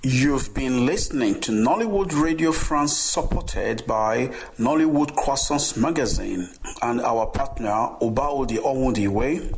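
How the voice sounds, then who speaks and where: A man's voice: mid-range voice, animated, a little slow, slight background noise. Male station announcer reading an outro.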